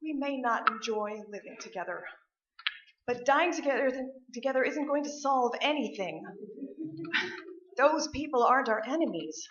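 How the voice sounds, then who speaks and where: A woman's voice: sits high, animated, moderate pace, a bit bright. An actress playing a firm, reproachful wife and worried mother in a staged radio play, with a pointed way of talking. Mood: urgent, firm. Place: live stage performance in a room. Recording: dull, echoey stage recording.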